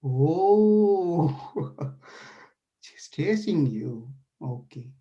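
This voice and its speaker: A man's voice: on the high side, lively intonation, extremely slow, dark tone, dull audio. A man voicing a playful, caring male deity who eagerly questions a frightened sage in a devotional story. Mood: curious, eager. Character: playful caring divine lord, male, curious.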